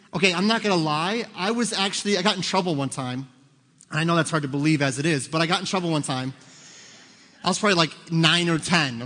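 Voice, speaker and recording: High-voiced joking pastor in a reverberant hall. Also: male voice, a bit bright. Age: 30s. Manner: joking, quizzes the congregation. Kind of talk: conversational sermon.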